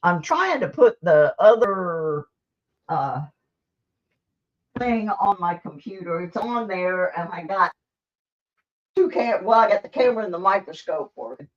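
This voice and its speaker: A woman's voice: on the low side, expressive, measured pace, pauses often. A woman hosting a live stream, chatting casually and rambling to her viewers in a folksy, self-deprecating way, on a dull lo-fi recording. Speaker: livestream host.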